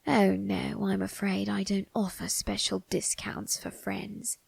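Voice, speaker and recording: An eerie, ageless male undertaker, acted by a woman speaks in an ASMR roleplay. The voice is husky and breathy, crackling heavily with creak. The phrasing is formal and slyly amused, needling the listener with soft tag questions. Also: medium pitch, level tone, no room sound, heavily acted. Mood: sly, teasing. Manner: slow, formal, teasing delivery with drawn-out tag questions.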